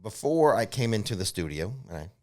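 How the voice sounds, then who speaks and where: A man's voice: fairly low pitch, very animated, brisk, a little mellow. A man co-hosting a casual, unscripted talk, explaining things informally and matter-of-factly with some hedging, as he answers viewer questions. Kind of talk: casual unscripted theme park news chat. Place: studio.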